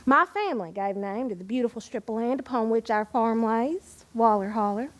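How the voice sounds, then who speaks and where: A woman playing an Appalachian poet of yesteryear, fond and proud, in a theatrical living-history monologue with dramatic pauses and deliberate, expressive delivery. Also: a little high, close to an everyday voice. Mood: fond, nostalgic. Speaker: historical reenactor reciting poetry. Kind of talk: theatrical first-person living-history monologue with verse recitation.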